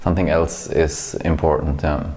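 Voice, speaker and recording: A man's voice: extremely low pitch, flat delivery, moderate pace, darkish tone. A man hosting a podcast, asking questions and summing up the guest's points in a friendly way. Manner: friendly, asks and sums up questions. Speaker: podcast host. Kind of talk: casual podcast interview.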